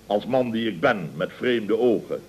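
An actor playing a pompous, self-satisfied middle-aged contractor boss in radio comedy, blustering and repeating himself with rhetorical questions. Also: male voice, lowish voice, measured pace, slightly rough. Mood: pompous, self-important. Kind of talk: 1970s Dutch radio comedy acting. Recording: dull old radio recording.

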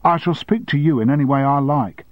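Indignant sharp-tongued secretary put on by a man, sarcastic retorts, dry close sound, slightly muffled. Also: a little high, a little fast, no room sound, voice pushed up, close to an everyday voice.

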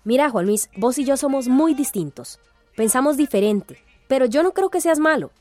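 A woman's voice: sits high, expressive, normal speed, darkish tone, soft background music. A woman acting a spirited, frank village activist in a radio soap opera. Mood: indignant, firm.

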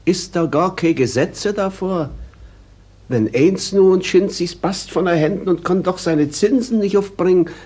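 An actor playing a poor, troubled old weaver in a radio drama, hesitant and repeating words in Silesian German dialect. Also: male voice, high voice, measured pace, dark tone, breathy edge. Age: older.

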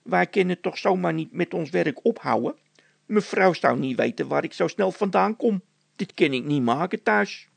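High-pitched, scolding workman played by a man. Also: lively intonation, moderate pace, creaky, breathy edge, no room sound, heavily acted. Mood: protesting. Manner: exclamatory, indignant. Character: irritable, scolding workman, male schemer.

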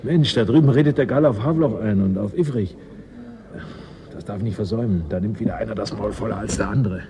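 An actor playing a shrewd, easygoing settler and schemer in a 1980s German radio drama, persuasive and cynical. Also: male voice, on the low side, fast talker, background noise, lightly acted. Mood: breezy.

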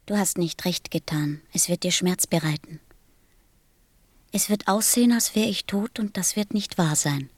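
A woman playing a gentle, wistful small boy from a star in a German radio play, speaking softly, tenderly and solemnly. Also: on the low side, moderate pace, dry recording, close to an everyday voice. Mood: sad, soft. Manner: tender, solemn, simply spoken.

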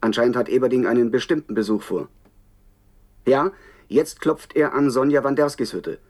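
An actor playing an indignant, scornful television reporter giving dramatic running commentary in a German radio play. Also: male voice, mid-range voice, normal speed, a little mellow, lightly acted. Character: indignant, scornful male TV reporter at an internment camp.

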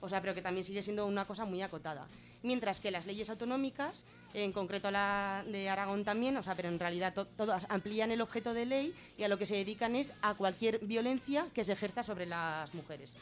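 A woman with a Spanish accent from Spain gives an informal explanation as a radio guest, colloquial and often halting as she thinks aloud. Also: mid-range voice. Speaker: guest explaining gender-violence legislation on a radio show.

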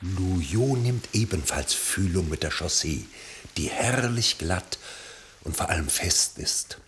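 A man narrating a radio play from a literary script, with measured, dramatic phrasing. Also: low voice, a bit bright.

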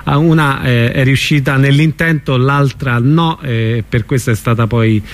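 A man's voice: medium pitch, unhurried. A man, a radio host interviewing a guest informally from the studio.

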